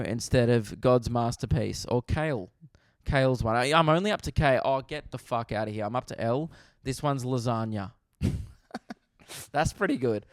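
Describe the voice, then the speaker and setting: A man's voice: medium pitch, clean tone, no room sound, studio quality. An Australian man hosting a comedy podcast, riffing casually, swearing freely and laughing at his own jokes. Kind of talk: casual solo podcast chat.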